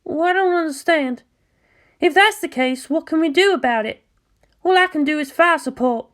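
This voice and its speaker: A woman's voice: high-pitched, normal speed, voice pushed up, natural voice. A woman voicing a nervous, polite, self-doubting teenage girl mecha pilot in a story, with a hesitant manner. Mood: nervous, uncertain. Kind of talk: acted story dialogue.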